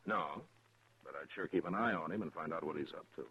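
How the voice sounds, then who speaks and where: Deep, gravelly-husky frontier marshal. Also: male voice, exaggerated voice. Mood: firm, cautioning. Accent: American. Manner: laconic, measured, plain-spoken. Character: steady, watchful frontier US marshal in radio western. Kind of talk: 1950s radio drama acting. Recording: muffled vintage 1950s radio recording.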